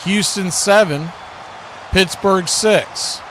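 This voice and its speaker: A man's voice: sits high, very slow, a bit bright, slight background noise, low-quality recording. A man doing live radio-style football play-by-play as the announcer.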